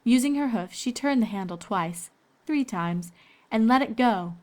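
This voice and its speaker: A woman's voice: on the high side, lively intonation, unhurried, darkish tone. A woman reading scripted story narration as the narrator of a fan fiction.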